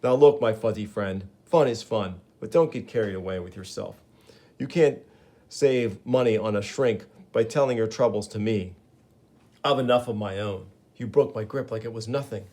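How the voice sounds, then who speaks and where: A man's voice: lowish voice, normal speed, a little mellow. Man voicing a sarcastic young superhero, breezy, quippy, storybook hero. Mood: wry. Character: wisecracking young male superhero.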